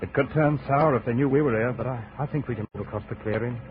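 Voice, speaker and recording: An actor playing a grim, excitable man guiding in the jungle, with urgent, dramatic 1950s radio delivery. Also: mid-range voice, fast, phone-quality sound, natural voice. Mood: tense. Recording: thin, noisy old radio recording.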